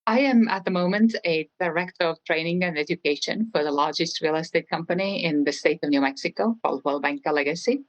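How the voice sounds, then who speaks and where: Middle-aged woman, Estonian accent, low-pitched voice, conversational interview guest, dry close sound. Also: fast talker, few pauses, no echo, muffled recording. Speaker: interview guest, real estate trainer.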